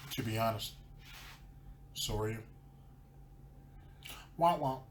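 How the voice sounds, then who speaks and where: A man's voice: fairly low pitch, slow, slight background noise. A man hosting a commentary show, ranting sarcastically over clips. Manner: sarcastic, mocking. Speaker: commentary channel host.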